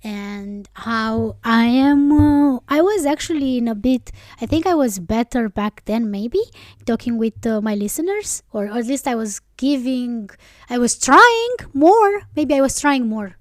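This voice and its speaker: A woman's voice: on the high side, expressive, unhurried, dry recording, clean studio sound. A woman from Romania, an English speaker, hosting her podcast in a reflective, rambling way that keeps correcting itself. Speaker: podcast host. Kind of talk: casual solo podcast reflection.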